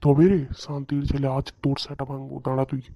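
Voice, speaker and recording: A man's voice: medium pitch, monotone, fast talker, dark tone, no room sound, faint music behind, lightly acted. A man voicing an angry father in a comic cartoon, scolding and threatening in coarse language. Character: angry scolding father of a grown slacker son. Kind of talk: comic Bengali cartoon acting.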